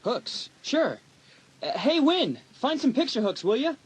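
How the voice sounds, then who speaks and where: A man's voice: very high-pitched, big pitch swings, measured pace, breathy edge, full character voice, rough recording. A man playing a friendly, slightly awkward and curious small-town teenage boy in a dramatised audiobook, hesitant but eager.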